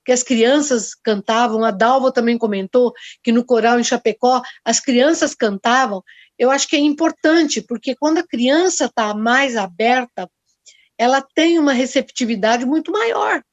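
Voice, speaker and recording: A woman in her sixties moderating a panel, speaking in a measured, explanatory way over a video call. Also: on the high side, no echo. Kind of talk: discussion panel talk. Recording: dry, dull video call audio.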